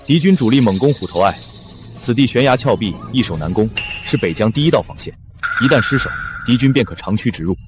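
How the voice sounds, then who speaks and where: An actor playing a measured, commanding prince who leads an army in a costume war drama. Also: male voice, mid-range voice, lively intonation, lightly acted. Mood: authoritative. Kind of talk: period war drama acting. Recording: dramatic music under the dialogue.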